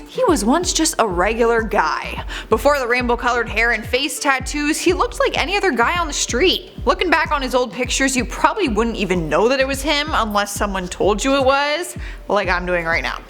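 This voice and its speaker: A young woman hosting a countdown video, reading a scripted list with chatty, candid asides. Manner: chatty, candid asides, lively swoops in pitch. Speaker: host.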